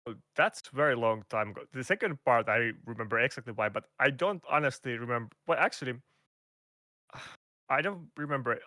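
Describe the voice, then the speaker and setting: A man with a Finnish accent talks through a casual interview, searching for words and restarting often. His voice comes across bright and forceful. The sound is close and dry, with no room around it. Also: medium pitch, lively intonation, normal speed, no room sound. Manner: hesitant, restarts and fillers, non-native phrasing. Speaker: guest content creator. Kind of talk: casual interview over a call.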